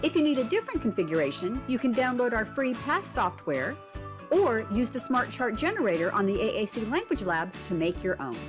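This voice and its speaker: A woman giving instructional narration and reading a picture book for children, expressive and deliberate, with prompting questions. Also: fairly high pitch, music in the background. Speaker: read-aloud instructor.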